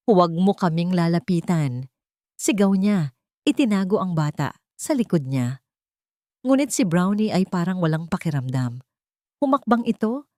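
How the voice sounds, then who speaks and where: A woman's voice: on the low side, mellow, no echo, studio quality. A woman narrating a dramatic romance story in an emotive storytelling manner.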